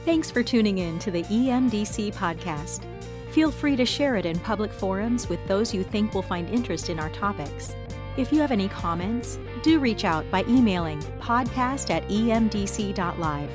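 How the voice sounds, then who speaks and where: A woman delivers scripted podcast narration as an announcer. Her voice is mid-pitched, with plucked guitar music clearly playing behind it. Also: expressive, moderate pace. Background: plucked guitar theme music.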